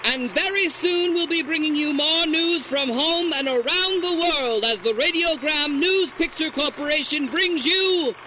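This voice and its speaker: An actor playing a grandiose, declamatory 1930s newsreel announcer over a noisy old film soundtrack. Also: male voice, very high-pitched, a little slow, hardly any pauses, bright, forceful tone, exaggerated voice. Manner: declamatory, pompous.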